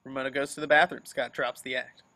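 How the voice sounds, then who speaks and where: A man's voice: mid-range voice, flat delivery, fast, pushed and bright. A man narrating the action lines of a film script at an amateur table reading. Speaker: reader of stage directions. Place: remote video call.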